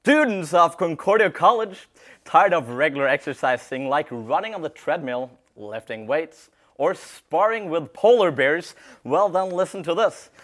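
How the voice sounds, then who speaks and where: A man's voice: on the high side, a bit bright. A young man, Norwegian native, co-hosting a college radio arts segment, rambling in chat and reading announcements. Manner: halting, rambling with fillers in chat. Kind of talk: casual chat and read announcements.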